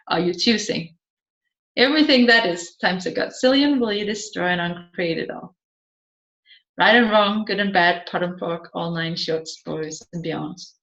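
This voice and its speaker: Slow-paced woman narrator, roomy sound. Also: medium pitch, fairly bright tone. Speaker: audiobook narrator. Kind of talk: scripted audiobook narration of a seminar transcript. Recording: slightly dull, roomy echoing sound.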